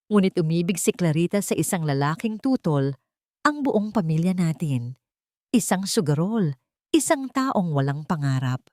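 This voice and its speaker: A woman's voice: fairly low pitch, no room sound, clean studio sound. A woman with a Filipino accent voicing a regretful older father in an audio story, telling his past with heavy remorse.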